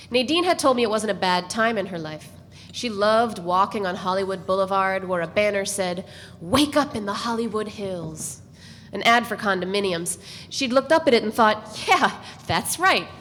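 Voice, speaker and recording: A woman narrating a novel at a live reading, measured and reflective. Her pitch sits in the middle range. A long hall reverb and faint room noise surround her voice. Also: a bit bright. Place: large echoey event space.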